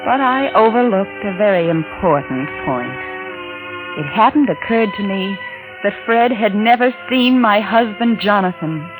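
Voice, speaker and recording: Female actor, cold calculating murderess for 1940s radio suspense; expressive intonation, old recording with music behind. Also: medium pitch, lightly acted, rough recording. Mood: rueful, narrating. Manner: coolly deliberate, taunting. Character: cold, scheming, unfaithful wife turned killer.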